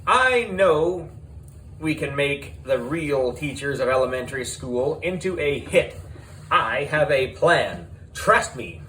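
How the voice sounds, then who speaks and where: A man's voice: mid-range voice, sing-song, slow pace, sharp tone, slight background noise, exaggerated voice, rough recording. A man voicing a brash, pushy female TV producer with emphatic showbiz hype in a children's audiobook. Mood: scheming, upbeat.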